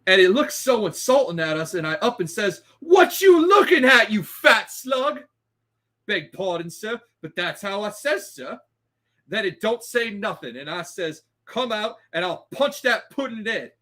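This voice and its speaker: A man's voice: high-pitched, sing-song, unhurried, bright, forceful tone, full character voice. A man voicing a young, deferential but scrappy Cockney bellboy who talks in ungrammatical, indignant bursts in a read-aloud story. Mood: animated, indignant. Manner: ungrammatical, peppered with respectful address.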